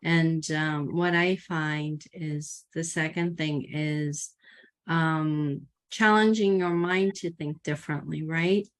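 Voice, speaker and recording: A woman's voice: sits low, steady tone, slow. A woman hosting an interview, talking in a thoughtful, coaching, affirming way. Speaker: podcast host. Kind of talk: interview conversation.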